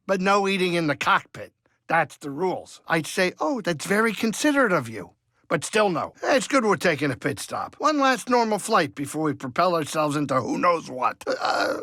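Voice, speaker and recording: A man voicing a grumpy, fretful but soft-hearted older alien pilot and cook in a video game, with a colloquial, fussy, rambling delivery. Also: a little high, no room sound, studio quality, exaggerated voice. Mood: chatty, blustering. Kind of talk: video game character dialogue.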